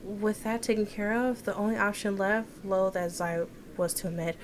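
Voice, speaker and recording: A woman game streamer reads a story aloud in a medium-pitched voice. She is expressive, slipping into casual asides and correcting her stumbles. There is clear background noise and game ambience behind her. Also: normal speed. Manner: stumbles over words and corrects herself. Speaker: game streamer reading a visual novel aloud.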